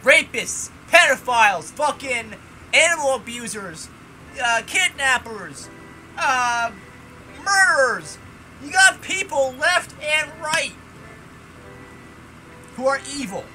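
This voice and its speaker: A man reading a visual novel aloud and breaking off into animated, sweary commentary. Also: very high voice, takes it slowly, pauses often, faint music behind, poor audio. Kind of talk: reading game text and ranting commentary.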